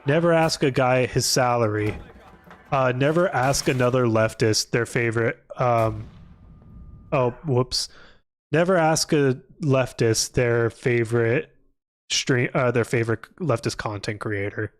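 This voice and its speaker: A man's voice: mid-range voice, unhurried. Male streamer, casual rambling, hesitant, informal, trailing off, mid-range voice. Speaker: live streamer. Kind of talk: casual rambling livestream chat. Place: apartment room.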